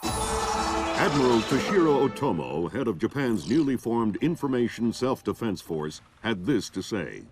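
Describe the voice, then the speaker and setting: Formal, animated male news anchor. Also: mid-range voice. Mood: grave, formal. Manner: formal, measured. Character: sober television news anchor, American man. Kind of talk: scripted news bulletin. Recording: music bed behind the voice.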